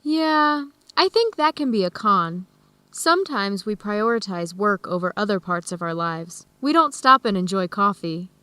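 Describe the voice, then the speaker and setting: An actress playing a friendly, even-tempered American woman who explains things in a measured way in an educational radio drama. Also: medium pitch, clear, resonant tone, no echo. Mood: thoughtful. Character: friendly American woman hosting Ethiopian friends, easygoing, tolerant. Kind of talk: educational radio drama dialogue, clearly worded for learners.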